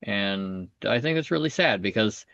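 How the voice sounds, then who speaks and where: A man's voice: fairly low pitch, expressive, moderate pace, vocal fry, a bit bright, no echo. An American man talking earnestly in a casual podcast conversation, often repeating and rephrasing his points. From United States. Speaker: podcast guest, comedian. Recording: dull, dry lo-fi audio.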